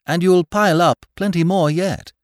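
A man voicing a lively, emphatic young English lord in an audiobook, with an upper-class English accent and clipped, exclamatory phrases. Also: sits high, normal speed, no echo, clean studio sound, pitched above the performer's own voice, natural voice. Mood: eager, animated. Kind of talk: audiobook character voice.

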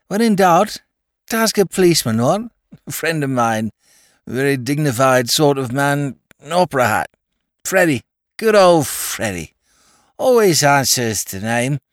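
A man's voice: a little high, no room sound, clean studio sound, pitched above the performer's own voice. A man voicing a drunk aristocratic young Englishman, slurring and rambling cheerfully. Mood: drunkenly cheerful.

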